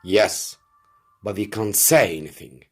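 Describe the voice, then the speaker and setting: A man voicing a determined soldier in a storybook reading, forceful, urgent and persuasive. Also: lowish voice, expressive, slow pace, soft background music. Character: commanding, determined male soldier urging a plan.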